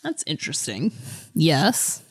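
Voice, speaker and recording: A woman's voice: low voice, wildly swooping pitch, slow pace, slightly rough. A woman co-hosting a podcast in a casual book chat, with a playful, giggly manner. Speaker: podcast co-host.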